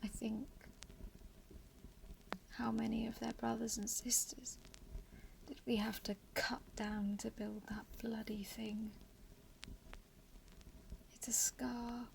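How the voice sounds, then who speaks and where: Woman acting a slow, creaky-whispered dying survivor. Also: a little high, little pitch movement, darkish tone, slight background noise, heavily acted, poor audio. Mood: bitter, hushed. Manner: halting, fragmented phrases with long pauses. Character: dying lone female survivor, entangled, haunted, bitterly resigned. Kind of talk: horror radio play monologue.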